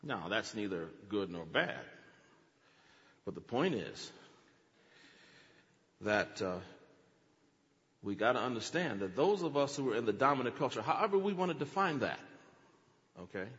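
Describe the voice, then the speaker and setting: A man's voice: mid-range voice, big pitch swings, measured pace, pauses often, fairly bright tone, big-room sound, highs cut off. A man preaching a teaching sermon, dramatic, acting out quotes with big swings in his voice.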